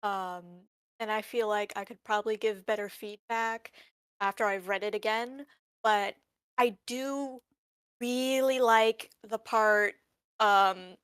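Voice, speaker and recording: A woman's voice: medium pitch, fairly bright tone, smooth, dry recording. A woman giving casual, tentative feedback in a group discussion, in an informal way. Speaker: novice screenwriter giving feedback.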